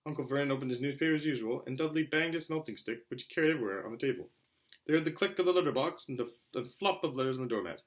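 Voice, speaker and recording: A man narrating a children's novel aloud in an expressive storytelling style. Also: medium pitch, rapid-fire. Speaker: audiobook reader.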